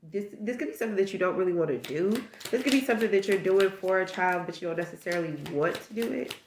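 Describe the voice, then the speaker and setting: A woman's voice: medium pitch, fast talker, reverberant, a little hiss or hum. A woman with a stuffed-up nose giving a casual tarot reading, musing aloud and rambling through possibilities. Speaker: tarot reader.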